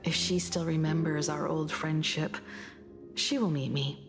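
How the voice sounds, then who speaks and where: An actress playing a composed adult woman who speaks calmly and earnestly about an old friend, in a game. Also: low-pitched, a little slow. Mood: reflective. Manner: calm, reflective and earnest. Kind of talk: game voice acting. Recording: game audio over loud music. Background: game music.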